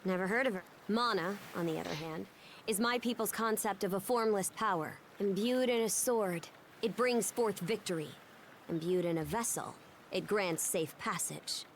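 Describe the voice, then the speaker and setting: A woman's voice: medium pitch, moderate pace, a little hiss or hum, natural voice. An actress voicing a brash, proud young pirate woman in a video game, with a blunt, taunting and confident delivery. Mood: proud, explaining. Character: brash young Norman pirate woman, sharp-tongued, proud, fierce fighter. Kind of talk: English video game voice acting.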